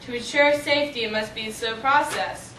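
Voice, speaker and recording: A high-pitched, bright teenage girl's take on an anxious reactor engineer in a school play. The delivery is halting. The camera mic is distant, in an echoey room. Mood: cautious, resigned. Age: young teen. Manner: halting, stammering. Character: anxious reactor engineer pushing through a risky procedure. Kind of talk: amateur school drama. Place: small office. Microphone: far camera. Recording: roomy, distant camera mic, faint noise.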